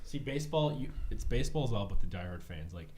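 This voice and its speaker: A young American man co-hosts a podcast. His voice sits a little low and he speaks in casual banter. There is faint noise in the recording. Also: lively intonation, quick pace, darkish tone. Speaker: podcast co-host.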